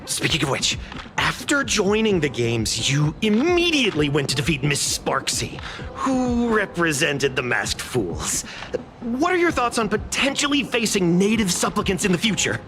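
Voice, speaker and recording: Polite young interviewer, animated, probing, very swooping intonation, anime game dialogue. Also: female voice, lowish voice, normal speed. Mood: inquisitive. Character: eager, polite event interviewer, young adult. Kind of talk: anime-style game voice acting. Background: game music.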